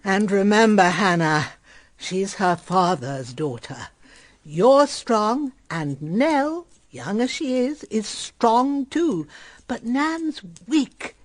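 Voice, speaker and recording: An actress playing a gentle, shrewd elderly grandmother in a period radio drama, speaking in a tender, reflective and knowing manner. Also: mid-range voice, sing-song, takes it slowly, no room sound. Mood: knowing, concerned. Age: older.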